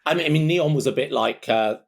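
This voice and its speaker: A man's voice: mid-range voice, fast talker, gravelly. A man speaking as an expert guest in an interview, explaining his thinking aloud step by step in a conversational way. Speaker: expert guest, technology analyst.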